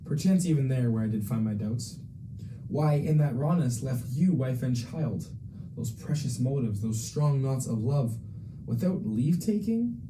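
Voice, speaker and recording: A young man playing a self-doubting exiled prince in a student Shakespeare dramatization, reciting archaic verse formally and with measured care. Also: mid-range voice, animated, warm tone, slight background noise, natural voice, rough recording. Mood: wary.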